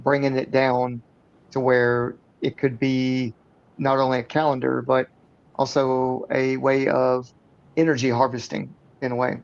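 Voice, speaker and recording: A man's voice: medium pitch, completely flat delivery, a little slow, vocal fry. A man on a video call speaking hesitantly with many fillers and self-corrections during a panel discussion. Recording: dull lo-fi call audio.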